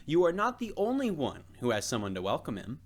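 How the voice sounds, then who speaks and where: A man's voice: fairly high pitch, wildly swooping pitch, a little fast, pitched above the performer's own voice. Man reading as a fond storybook father. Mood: pleased. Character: boy's father, adult university man, fond. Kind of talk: children's storybook character reading.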